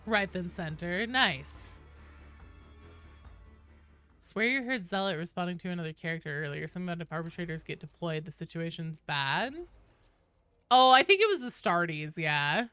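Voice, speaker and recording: A woman's voice: on the low side, lively intonation, normal speed, long pauses, bright, forceful tone, clean tone, faint music behind. A woman in her late thirties chatting casually on a game livestream and reading out viewer messages, informal and sweary. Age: late 30s. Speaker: game streamer.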